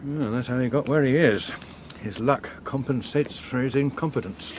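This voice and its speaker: An actor playing a suave, unflappable veteran politician in a radio drama, with an upper-class English accent and a dry, measured, ironic manner. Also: male voice, medium pitch, background noise, lightly acted. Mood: cool, knowing.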